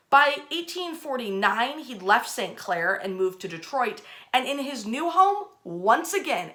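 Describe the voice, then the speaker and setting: Bright-voiced woman telling history stories. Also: mid-range voice. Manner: emphatic, repeats phrases for effect. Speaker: host, storyteller. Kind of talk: conversational history storytelling.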